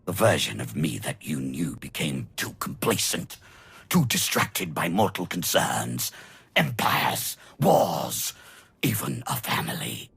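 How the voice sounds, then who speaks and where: An actor playing an arrogant, coldly amused immortal dark-side villain in a video game, speaking with theatrical, drawn-out condescension and a gravelly, husky voice. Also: male voice, very deep voice, big pitch swings, dry recording, exaggerated voice. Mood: menacing scorn. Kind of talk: dramatic video game acting.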